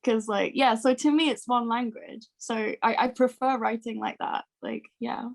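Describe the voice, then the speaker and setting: A woman from Britain talks in an easy, casual way, filling her sentences with "like". Her voice is notably high. She sounds relaxed and informal. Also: moderate pace, vocal fry. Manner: casual, lots of "like" fillers. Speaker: band singer. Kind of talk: casual interview answers.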